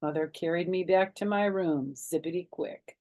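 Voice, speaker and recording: A woman reading a children's chapter book aloud as a storytime narrator, animated and dramatic in her telling. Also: on the low side, normal speed.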